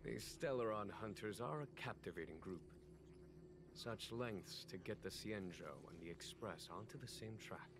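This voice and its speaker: A man playing a shrewd, unhurried general in a video game, measured and musing, with quiet authority. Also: on the low side, expressive, noisy background. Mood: quietly amused. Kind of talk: scripted video game voice acting. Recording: background music under the voice.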